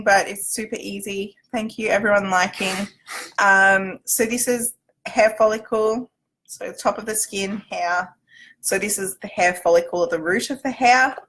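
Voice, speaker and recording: Bright-voiced Australian woman chatting informally. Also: mid-range voice, low-quality recording. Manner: chatty, loosely structured, casual. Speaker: company representative hosting a livestream Q&A. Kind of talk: informal livestream explainer.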